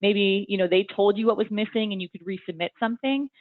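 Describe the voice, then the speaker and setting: A woman's voice: mid-range voice, fairly even intonation, fast talker, no echo. A young adult woman presenting a webinar in a friendly, casual way, with lots of ums and you-knows, over video call audio. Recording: tinny phone-like webinar audio.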